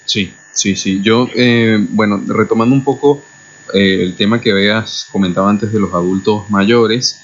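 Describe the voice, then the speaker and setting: A man's voice: lowish voice, fairly even intonation, normal speed. A man with a Venezuelan accent hosting a radio interview, speaking thoughtfully and hesitantly, with many fillers. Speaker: radio host. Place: radio studio. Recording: muffled lo-fi radio recording.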